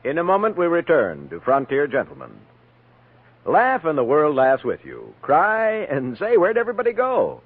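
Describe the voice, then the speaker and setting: Animated American radio announcer, vintage sound. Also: male voice, fairly high pitch, moderate pace, phone-quality sound. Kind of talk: 1950s radio continuity and promo reading. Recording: roomy, band-limited vintage radio broadcast.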